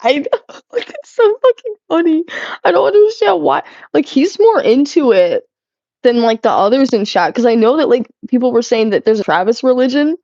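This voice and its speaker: A woman's voice: high voice, lively intonation, moderate pace, dark tone, no echo. Giggly young woman streamer, casual swearing. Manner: loose, giggly, sprinkled with swearing and "like". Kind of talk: casual live-stream chat while cleaning. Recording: slightly muffled, dry sound.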